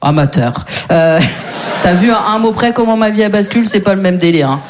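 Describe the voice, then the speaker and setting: A woman's voice: mid-range voice, animated, fairly quick. A woman performing a stand-up routine on stage, brash, slangy and self-mocking. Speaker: stand-up comedian. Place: comedy stage with audience.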